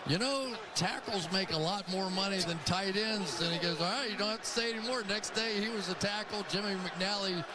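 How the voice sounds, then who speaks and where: A man's voice: sits high, a little fast, over a noisy crowd. A man giving conversational football analysis and telling anecdotes as a broadcast color commentator. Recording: noisy game broadcast audio.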